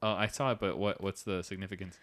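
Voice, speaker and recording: A man co-hosting a fan podcast in casual chat. He is chatty and walks through scenes, quoting lines as he goes. His voice sits a little low. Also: flat delivery, quick pace, a bit bright. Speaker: podcast co-host.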